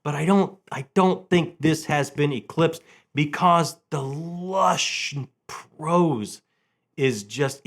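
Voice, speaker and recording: A man hosting a casual, enthusiastic book vlog, talking in an animated way with halting restarts and repeated words. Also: mid-range voice.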